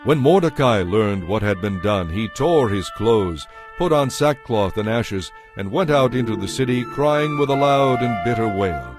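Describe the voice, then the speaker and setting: A man's voice: on the low side, expressive, unhurried, hardly any pauses. A man narrating scripture as a scripted, dramatized story, with a solemn storytelling delivery. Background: music.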